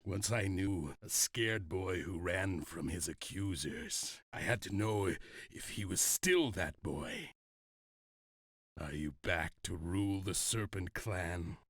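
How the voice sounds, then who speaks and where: Slow, deep, proud warlord. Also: male voice, expressive, a bit bright, dry recording, studio quality, exaggerated voice. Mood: taunting. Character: Shinja, proud defeated warlord, wry and challenging. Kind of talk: dramatic video game cutscene acting.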